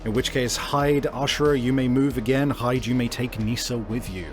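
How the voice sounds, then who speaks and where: A man narrating and ruling as game master in a tabletop role-playing game, with calm, measured rulings. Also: medium pitch, over music.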